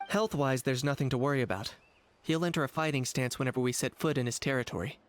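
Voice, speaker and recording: Man as calm, earnest, honorable tribesman. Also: mid-range voice, fast, a little hiss or hum, natural voice. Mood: measured. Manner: calm, sincere. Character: earnest, honorable young tribesman, loyal and measured. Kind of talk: anime game voice acting.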